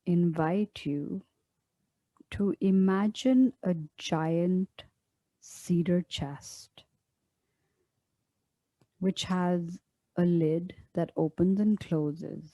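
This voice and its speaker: Woman, meditation teacher, lowish soft-toned voice, very slow, soothing, close dry sound. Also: fairly even intonation, no room sound, poor audio. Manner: gentle, unhurried, soothing. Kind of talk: guided meditation, slow instruction with long pauses.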